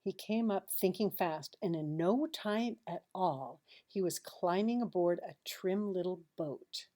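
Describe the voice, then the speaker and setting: Woman, teacher reading a picture book to children: lowish, dark mellow tone, expressive delivery with pauses and confiding asides. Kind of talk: children's storybook read-aloud with asides.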